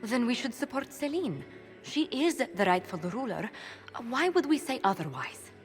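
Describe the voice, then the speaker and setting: A woman's voice: on the high side, very animated, moderate pace. A proper, principled ambassador, formal and diplomatic, with a voice that rises and falls expressively. Faint music plays behind her. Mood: earnest. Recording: game dialogue over music.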